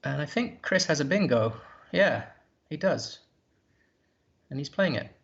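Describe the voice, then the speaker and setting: A man's voice: fairly high pitch, lively intonation, measured pace, gravelly, a bit bright, muffled recording. A man commentating a live board game, thinking aloud in a hesitant, musing way.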